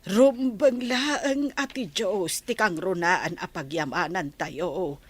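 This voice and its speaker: A woman's voice: lowish voice, takes it slowly, husky. A woman with an Ilocano accent playing a doting, pious old village grandmother in a radio drama. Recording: soft music bed behind.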